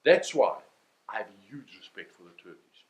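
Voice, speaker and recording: A man's voice: lowish voice, very animated, moderate pace. A man with a South African accent gives a motivational talk, telling stories in a theatrical, emphatic way with rhetorical questions. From South Africa, Cape Town. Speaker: motivational keynote speaker. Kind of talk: animated storytelling sales talk. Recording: roomy, echoey sound.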